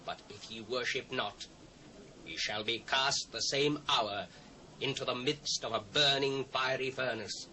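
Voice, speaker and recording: Proud ancient monarch, British accent, bright forceful voice, slow imperious declaiming, audio drama, faint cassette hiss. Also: male voice, mid-range voice, rough recording. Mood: imperious, threatening. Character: proud, commanding ancient king of Babylon. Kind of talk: dramatised scripture reading.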